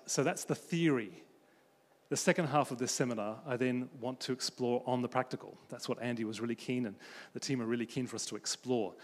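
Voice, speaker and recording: A man from Australia giving a conference talk about faith and friendship, telling stories in an animated way as he moves around a large stage with a handheld mic. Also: medium pitch. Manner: animated storytelling, pacing the stage. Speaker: conference speaker. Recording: long hall reverb.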